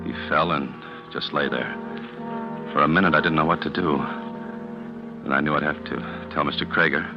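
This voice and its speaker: Very deep-voiced, uneasy young clerk. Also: male voice, fairly even intonation, normal speed, music in the background. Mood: uneasy, reflective. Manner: hesitant, plain-spoken, deferential. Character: earnest, uneasy young male office clerk narrating his trouble. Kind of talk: 1940s radio drama narration and dialogue. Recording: dull old radio recording.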